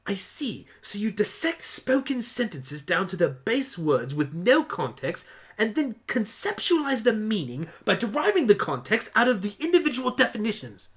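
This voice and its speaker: A man's voice: sits high, big pitch swings, normal speed, a bit bright, voice pushed up, exaggerated voice. A man voicing a brainy, flustered, eccentric time-traveling doctor, wordy and pedantic, in a fan audio drama. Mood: curious, pedantic.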